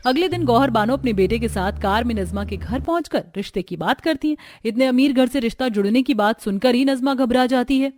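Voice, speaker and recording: A woman reading scripted narration for an animated family story, in a storytelling style. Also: a little high, fairly quick, few pauses, no echo, clean studio sound.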